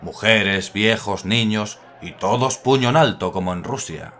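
A man's voice: lowish voice, a little slow, a bit bright. An actor in a radio drama playing an idealistic young republican man, speaking earnestly and with passion. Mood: impassioned. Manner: earnest, impassioned.

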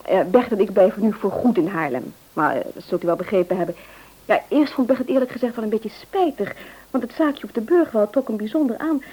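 A woman's voice: medium pitch, expressive, a little fast, dark tone, breathy edge, low-quality recording. A woman playing a dutiful, eager grown-up daughter in a radio play, chatty and polite with some hesitancy. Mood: earnest, hesitant. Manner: chatty, polite, hesitant when asking favours. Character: Annie, dutiful, eager married adult daughter.

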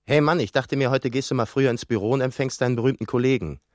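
A man's voice: mid-range voice, quick pace, slight background noise, lightly acted. A man playing a chatty office colleague. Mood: casual. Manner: casual, joking. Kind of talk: radio drama acting.

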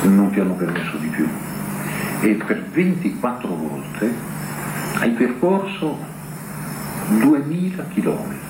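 Slow, deliberate man reciting poetry. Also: low-pitched, echoey room, noisy background. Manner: deliberate, with weighted pauses.

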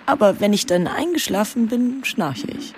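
A woman's voice: medium pitch, animated, normal speed, noisy background, lightly acted. An actress playing a frank, sardonic, world-weary young woman in a German radio drama. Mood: wry. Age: young adult.

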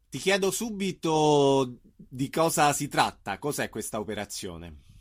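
A man's voice: medium pitch, animated, slow. A man hosting a radio interview, introducing guests and asking questions in a friendly, informal way.

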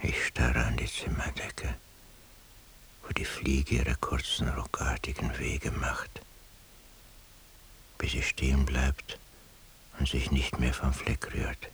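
A sleepless, anguished figure murmuring his thoughts in a radio drama. The voice is extremely low and husky, mostly breath. He moves morosely and evenly from one small observation to the next, with little melody. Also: level tone, takes it slowly, long pauses, a bit bright, heavily acted. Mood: numb, anguished. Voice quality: breathy, half-whispered, husky. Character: weary, anguished man lying sleepless at night. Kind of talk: radio drama interior monologue.

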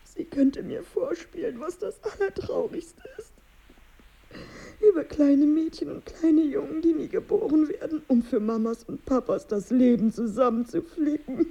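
A woman's voice: sits high, a little slow, mellow, lo-fi sound. An actress playing a melancholy, bitter married woman in a radio drama, with a brooding, wry, weary manner and diction. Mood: tearful. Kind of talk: radio drama monologue and dialogue.

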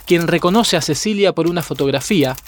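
A man's voice: a little high, lively intonation, a little fast. A man reading scripted documentary narration as a podcast narrator.

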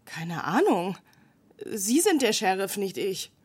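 A frightened young woman who refuses to back down in a radio drama. Her mid-range voice is a little husky. She speaks curtly and insistently. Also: mid-range voice, sing-song, slow, heavily acted. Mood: afraid, indignant. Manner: insistent, curt, tense delivery. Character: frightened, stubborn young woman demanding protection.

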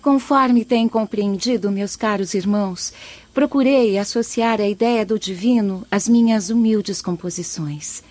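A woman's voice: medium pitch, a little slow, darkish tone, no room sound, close to an everyday voice. A woman playing a humble female poet spirit who earnestly admonishes her listeners in a radio drama.

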